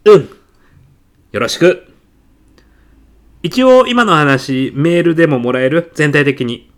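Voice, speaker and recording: A man's voice: a little high, animated, takes it slowly. A man playing a mild, absent-minded department manager in a comedic audio drama, with an agreeable, slightly pompous managerial manner. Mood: distracted.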